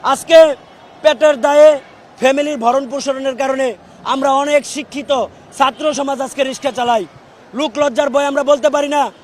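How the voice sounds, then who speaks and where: A young man from Bangladesh making a loud, emphatic public appeal, recorded outdoors in a street clip. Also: extremely high pitch, steady tone, moderate pace, a little hiss or hum. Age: young adult. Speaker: protest speaker.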